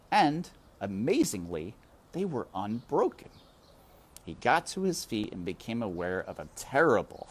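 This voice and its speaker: A narrator reading a children's story aloud with deliberate, dramatic phrasing. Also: sits low. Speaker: storybook reader. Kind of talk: expressive scripted narration, children's read-aloud.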